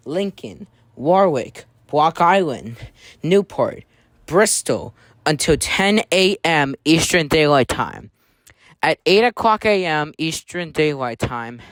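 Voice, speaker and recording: An emergency alert announcer delivers scripted warnings in a medium-pitched voice. The delivery follows the format of an official bulletin. The sound is dry, with very little room around the voice. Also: no echo.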